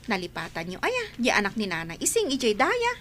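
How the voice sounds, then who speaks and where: A woman's voice: on the high side, wildly swooping pitch, brisk, fairly bright tone, exaggerated voice. A woman playing an earnest young woman looking for work in a scripted audio drama. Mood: reassuring. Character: earnest young woman seeking work, reassuring her father. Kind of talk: scripted radio-style drama in Ilocano.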